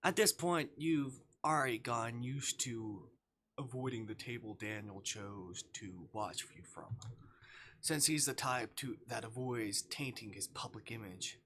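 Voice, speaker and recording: A man's voice: mid-range voice, unhurried, pushed and bright, slight background noise. A man streaming, reading visual novel narration and dialogue aloud expressively, with occasional stumbles over the text.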